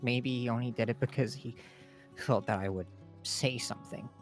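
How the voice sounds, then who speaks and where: A man roleplaying in a tabletop game, speaking haltingly with pauses mid-sentence. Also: medium pitch, steady tone, faint music behind.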